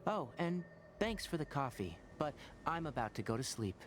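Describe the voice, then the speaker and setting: An actor playing a calm, measured young ranger scholar who explains things like a lecturer. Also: male voice, a little high, noisy background. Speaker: ranger character. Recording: game audio with background ambience.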